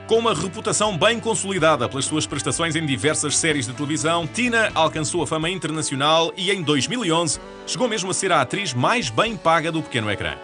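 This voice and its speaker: A man with a European Portuguese accent reading scripted narration for a TV channel promo in a smooth, even announcer delivery. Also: a little high, fast. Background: music bed.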